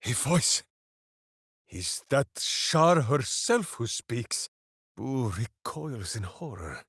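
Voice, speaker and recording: A hearty berserker hero for a video game, simple-minded and boastful. He declaims slowly and theatrically, swinging widely in pitch. The sound is close and dry. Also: big pitch swings, a bit bright, husky, no room sound, exaggerated voice. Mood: hearty, blustering. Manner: bombastic, theatrical, speaks of himself in third person. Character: hearty, simple-minded, boastful heroic berserker warrior devoted to his hamster. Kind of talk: video game character voice lines.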